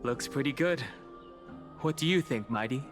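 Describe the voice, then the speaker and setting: An actor playing a calm, sincere and supportive young traveling companion in a video game, speaking politely and thoughtfully. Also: male voice, mid-range voice, natural voice. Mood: friendly, reassuring. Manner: thoughtful, polite, measured wording. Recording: loud music behind.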